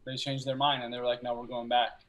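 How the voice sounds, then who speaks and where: A young man who grew up speaking Albanian, a filmmaker talking about his work as a guest on a video call, telling stories in a relaxed way with pauses to think. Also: mid-range voice. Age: young adult. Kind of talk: casual interview chat. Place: small room at home.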